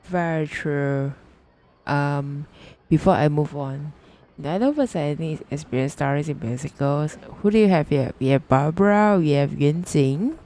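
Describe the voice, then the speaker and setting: A woman's voice: sits low, moderate pace, warm tone. A woman live-streaming a video game with casual, hesitant commentary, often murmuring asides to herself.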